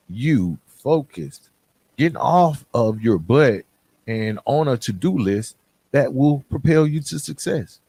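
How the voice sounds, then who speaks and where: A man's voice: medium pitch, darkish tone, dry recording. A man hosting a motivational podcast, talking in an earnest, direct and conversational way with emphatic repetition. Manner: conversational, colloquial. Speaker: podcast host.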